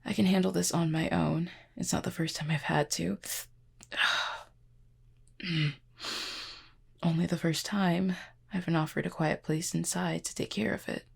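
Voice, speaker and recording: A woman playing an injured, proud and guarded cupid in an intimate audio roleplay, speaking formally and wryly in a husky, pained voice with weary sighs. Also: fairly low pitch, fairly even intonation, exaggerated voice. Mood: weary, polite. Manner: formal, wry wording with weary sighs and hesitant pauses. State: in pain, strained.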